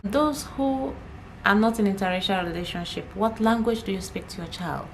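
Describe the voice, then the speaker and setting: A woman's voice: mid-range voice, moderate pace, slight background noise, rough recording. A young Nigerian woman, an Igbo speaker, chatting casually to camera as a vlogger, laughing often and repeating herself.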